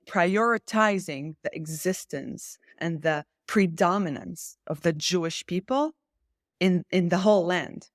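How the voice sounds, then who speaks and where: A woman's voice: on the low side, no room sound, clean studio sound. A woman from Israel speaking as an interview guest in a political discussion, challenging and insistent, repeating key phrases for emphasis.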